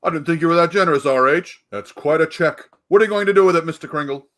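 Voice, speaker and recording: A man's voice: fairly high pitch, quick pace, a bit bright, natural voice. A man playing a brash, competitive rival department store boss in a 1940s-style radio play. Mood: jovial needling.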